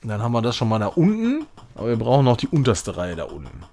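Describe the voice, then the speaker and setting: A man's voice: mid-range voice, wildly swooping pitch, quick pace, darkish tone, a little hiss or hum. A man giving casual live game commentary as a streamer, thinking aloud in short muttered phrases. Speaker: streamer playing a retro puzzle game.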